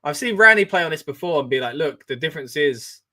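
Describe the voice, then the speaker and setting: A man reacts live as he hosts a watch-along. He talks in a chatty, excitable way and reads out viewer comments between his reactions. His voice is forceful and bright and sits a little high. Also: fast talker. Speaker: wrestling watch-along host.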